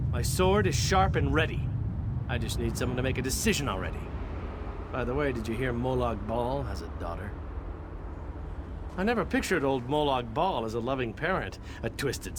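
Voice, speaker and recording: An actor playing a roguish, eager young warrior in a video game, breezy and swaggering. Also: male voice, mid-range voice, close to an everyday voice. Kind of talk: video game character dialogue. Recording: game ambience noise behind voice.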